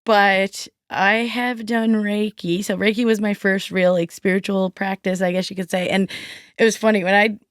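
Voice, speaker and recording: A woman's voice: medium pitch, little pitch movement, moderate pace, clear, resonant tone, dry recording. A chatty woman in her thirties, talking freely as a podcast guest. She fills her talk with likes and ums and slips into little voices for quoted people. The sound is close and dry, with no room around it. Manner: chatty, many fillers, acts out quoted voices. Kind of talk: casual interview chat.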